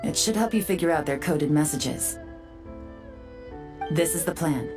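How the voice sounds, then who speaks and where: Low female voice, shrewd game investigator, composed, confident, measured delivery, soft background music. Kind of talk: video game voice acting. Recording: music playing behind the voice.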